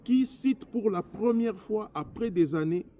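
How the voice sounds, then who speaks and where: Congolese man, mellow higher voice, political address. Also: lively intonation, measured pace. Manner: emphatic, repetitive, switching between French and Lingala. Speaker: politician, former member of parliament.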